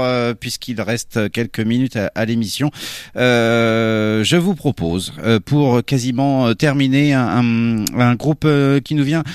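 A man's voice: medium pitch, clear, resonant tone. A man presenting a radio music show in a hesitant, self-mocking way, full of fillers and restarts. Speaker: radio host.

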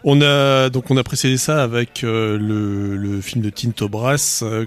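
A man co-hosting a radio show in casual chat. He is talkative and knowledgeable, sharing film facts at length. His voice sits at a middle pitch for a man. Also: fairly even intonation, unhurried, smooth. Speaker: radio co-host.

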